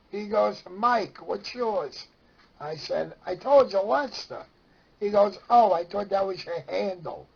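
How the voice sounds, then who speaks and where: A man with a strong raspy edge and a notably high voice tells casual stories in a Brooklyn accent. He is excitable and slips into remembered dialogue, though his overall pace is slow. The recording is dull and lo-fi. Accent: Brooklyn New York. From Brooklyn, New York. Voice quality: raspy, gravelly. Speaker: interview guest. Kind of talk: animated casual storytelling. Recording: dull lo-fi sound.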